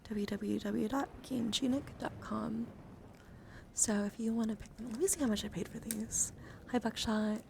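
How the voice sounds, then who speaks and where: A woman chatting softly to livestream viewers in ASMR style, breathy and often whispering, with a gentle, soothing, intimate manner close to the mic. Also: fairly high pitch, moderate pace, a little hiss or hum. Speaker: live streamer hosting ASMR. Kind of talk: ASMR, casual chat with viewers.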